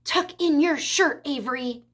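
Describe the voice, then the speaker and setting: A woman's voice: high voice, huge pitch swings, moderate pace, fairly bright tone, full character voice. A woman voicing a fretful, fussy farm wife from a storybook, in a nagging manner. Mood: fussy scolding.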